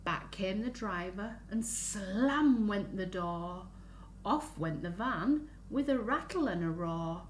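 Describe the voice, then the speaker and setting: Slow, animated woman reading to young children. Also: medium pitch. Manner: sing-song, expressive, asks the listeners questions. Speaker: children's story reader. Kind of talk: picture-book read-aloud for young children. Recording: roomy echo, faint background noise.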